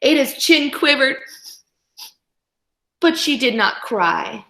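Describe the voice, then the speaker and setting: A woman reading a storybook aloud to young children in an expressive, teacherly way, with instructive asides to her listeners. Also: high voice, slow pace, gravelly. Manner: animated, instructional, talks directly to young listeners. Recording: echoey room.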